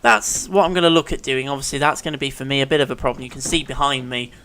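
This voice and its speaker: A man's voice: mid-range voice, steady tone, fast, sharp tone, a little hiss or hum. A man with a cold and a sore throat, chatting and thinking aloud as he commentates his gameplay at home. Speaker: let's play commentator. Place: living room at home.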